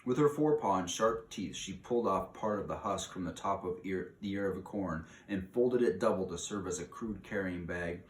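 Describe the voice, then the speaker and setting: Low-voiced man reading in an echoey room. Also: moderate pace, few pauses, recorded from a distance. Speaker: story reader. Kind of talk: children's book read-aloud. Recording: roomy echo, clear background hiss.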